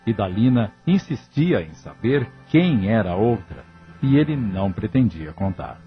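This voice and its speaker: Narrator for radio drama: Brazilian man, lowish pitch, scripted storytelling, slightly muffled recording. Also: very animated, measured pace. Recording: dull lo-fi audio with music.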